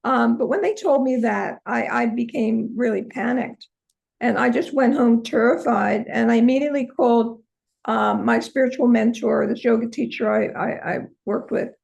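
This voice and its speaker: An older woman with a hoarse voice, telling her personal story as an interview guest. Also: on the high side, normal speed, darkish tone, low-quality recording. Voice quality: hoarse, from a vocal cord injury. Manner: earnest storytelling, many ums. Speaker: interview guest, holistic practitioner.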